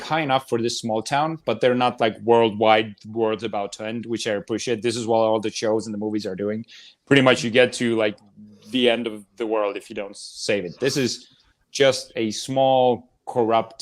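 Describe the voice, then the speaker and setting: A man chatting casually and enthusiastically as a podcast panelist, with informal wording and some mild swearing. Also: fairly low pitch. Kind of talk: casual chat about films and TV shows.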